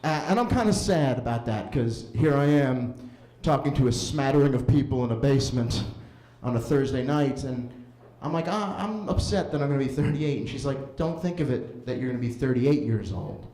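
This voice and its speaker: A man in his late thirties doing a stand-up set at an open mic in a basement, telling stories animatedly and jumping into high voices for quoted lines. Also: lively intonation, moderate pace, warm tone. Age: 30s. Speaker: stand-up comedian. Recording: roomy basement echo.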